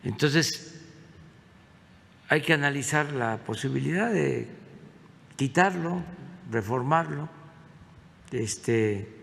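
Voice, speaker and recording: A man giving a measured public statement, slow and halting with many filler pauses. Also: mid-range voice, lively intonation, vocal fry, fairly bright tone, slight background noise. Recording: long reverb, faint ambience.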